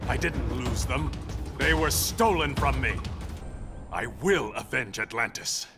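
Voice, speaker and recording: A man's voice: medium pitch, lively intonation, unhurried, music in the background. An actor playing a proud, vengeful male undersea king, speaking resolutely.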